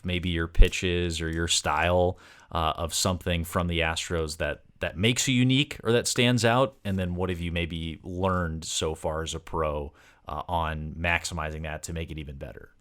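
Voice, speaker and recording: A man's voice: low voice, fairly even intonation, a little slow, a bit bright. A man interviewing as a sports podcast host, conversational, with wordy questions that meander through several parts.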